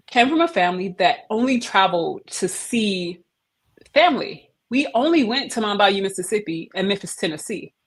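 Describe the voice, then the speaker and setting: A middle-aged woman, a guest on a video-call interview, telling her career story in a lively, emphatic way. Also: mid-range voice. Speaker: head of operations, interview guest. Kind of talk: casual interview conversation.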